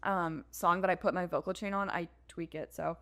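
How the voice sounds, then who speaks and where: Young adult woman, low-voiced, chatty guest, casual and filler-heavy. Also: fairly even intonation, normal speed, fairly bright tone. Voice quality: deep, low-register voice. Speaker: guest music producer. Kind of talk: casual podcast chat.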